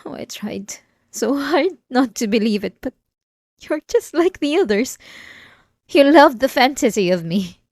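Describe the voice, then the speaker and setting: A woman's voice: a little high, no echo, studio quality. A woman playing an unhinged, possessive and obsessive wife in a dramatic ASMR roleplay, intense and swinging between pleading and menace. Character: unhinged possessive, obsessive young wife confronting her husband.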